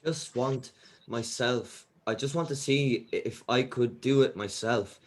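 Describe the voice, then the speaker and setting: A man reads the part of an earnest young musician with a mid-pitched male voice. He speaks haltingly and plainly, a little apologetic. It sounds like a natural table read of a screen comedy. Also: natural voice. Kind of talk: table read of a pilot script.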